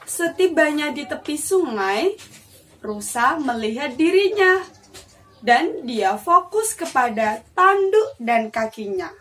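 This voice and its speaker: A young woman telling a children's story in an animated, deliberate way. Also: high voice. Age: young adult. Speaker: student storyteller. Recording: distant mic with faint noise.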